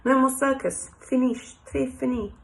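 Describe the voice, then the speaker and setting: A woman's voice: sits high, fairly even intonation, a little slow, gravelly, voice pushed up, low-quality recording. A woman voicing a resigned, mournful male French cafe owner in a storybook, with a put-on French accent and broken English. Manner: broken English, short fatalistic phrases. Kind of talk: storybook character voice.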